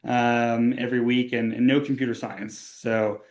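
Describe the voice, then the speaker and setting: A man's voice: medium pitch, flat delivery, a little slow, darkish tone. A young man from Austria, a tech company co-founder, speaking as a guest in a casual podcast interview, talking things through with frequent fillers and restarts. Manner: conversational, many fillers and restarts.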